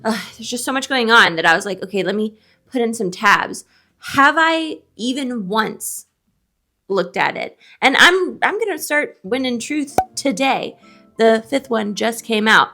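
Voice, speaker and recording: A woman's voice: fairly high pitch, animated, unhurried, bright, forceful tone, faint music behind. A woman co-hosting a book show in casual, opinionated chat, speaking emphatically and often posing questions. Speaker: co-host of a book discussion show; librarian.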